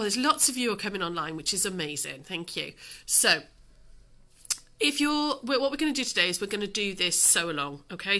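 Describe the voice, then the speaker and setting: Casting: middle-aged woman, bright tone, mildly snuffly, informal chatty craft-livestream host. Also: mid-range voice. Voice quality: slightly snuffly nasal. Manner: chatty, greeting viewers by name with endearments. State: snuffly from allergies. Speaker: craft tutor hosting a livestream. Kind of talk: casual live chat to viewers. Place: dining room at home. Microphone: phone on livestream.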